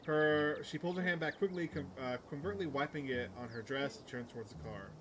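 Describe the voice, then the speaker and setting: A man's voice: a little high, a little fast, heavy background noise. A man giving casual game commentary as a streamer, muttering half-thoughts and reading on-screen story text aloud. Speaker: streamer playing a visual novel.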